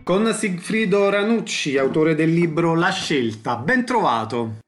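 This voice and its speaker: A male radio interviewer with a fairly high-pitched voice. He delivers prepared questions and summaries in a formal interview style. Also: big pitch swings, measured pace, soft background music.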